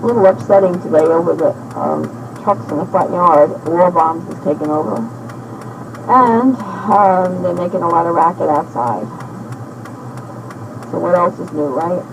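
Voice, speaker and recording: Older woman in her 60s, slow rambling diary talk, old hissy cassette tape. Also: on the low side. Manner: slow and halting, many pauses and fillers. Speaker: woman recording a personal audio diary.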